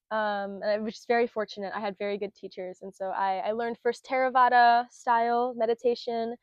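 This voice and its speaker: A young woman in her twenties from Florida tells her story in an earnest, casual way. She pauses on fillers and laughs lightly between thoughts. The sound is a little muffled and very dry. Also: a little high, dry recording. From Florida, United States. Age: 20s. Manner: earnest, lots of fillers and laughs, acts out quotes. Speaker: interviewee, Buddhist student. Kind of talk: casual spoken interview.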